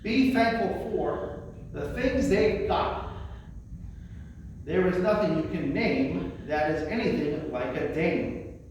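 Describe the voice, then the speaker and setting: Male poetry reader, notably high voice, theatrical emphasis, heard from a distance in a very reverberant space. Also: expressive, slow, long pauses, darkish tone. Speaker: librarian presenting a poetry reading. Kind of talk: poetry recitation with spoken introductions. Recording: roomy echo, distant mic, faint noise.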